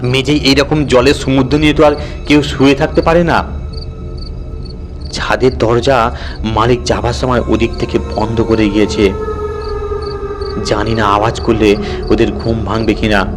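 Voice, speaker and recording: A man voicing a colloquial raconteur who recalls a frightening night with drawn-out, dramatic suspense. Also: mid-range voice, natural voice.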